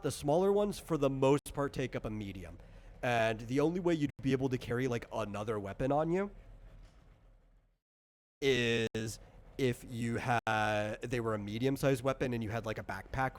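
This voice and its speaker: A man explaining things in casual chat, in a rambling, hesitant way, as a game designer running character creation. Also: mid-range voice.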